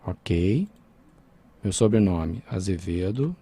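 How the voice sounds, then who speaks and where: A man's voice: deep voice, lively intonation, takes it slowly, dark tone, slightly rough. A man with a Brazilian Portuguese accent teaching a programming lesson over a screen recording, talking informally and checking in with his students.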